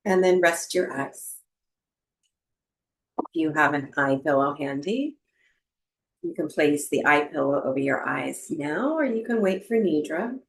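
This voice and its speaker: A woman teaching yoga nidra and breathwork, giving guided meditation instructions in a gentle, soothing manner with long pauses. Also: low voice, animated. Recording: roomy, echoing voice recording.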